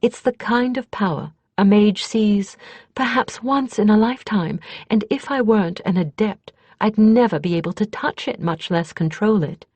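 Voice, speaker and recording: A woman's voice: medium pitch, normal speed, a little mellow, no echo, voice pushed up, natural voice. Woman playing a fiercely determined, confident female mage. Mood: awed, intense. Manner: earnest, explanatory, emphatic. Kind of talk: audiobook character dialogue.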